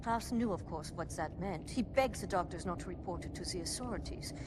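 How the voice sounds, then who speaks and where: An actress playing a sombre, hardened resistance leader in a game, speaking in measured, grave, clipped phrases. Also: female voice, fairly low pitch, noisy background, natural voice. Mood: sorrowful, grave.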